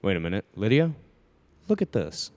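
Animated, firm husband and father. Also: lowish voice, normal speed, soft background music. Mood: troubled. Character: stern, dismissive husband and father. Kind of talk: radio play acting.

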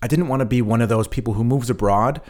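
A man's voice: mid-range voice, fast talker. An American man co-hosting a podcast, chatting thoughtfully and stammering as he starts his sentences. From United States. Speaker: podcast co-host. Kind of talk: casual chat.

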